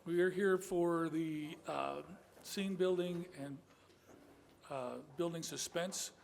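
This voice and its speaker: A man moderating a writers' panel, introducing the panelists in a halting way with many fillers. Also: a little high, creaky. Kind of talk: hesitant introductions.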